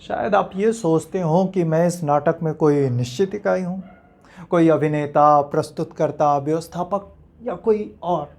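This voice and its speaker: An actor performs an enigmatic man in a stage monologue, mid-pitched for a male or a little above. He is philosophical and unsure of himself, putting rhetorical questions to the audience. His delivery is reflective, with deliberate pauses. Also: creaky, a little mellow. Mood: brooding, questioning.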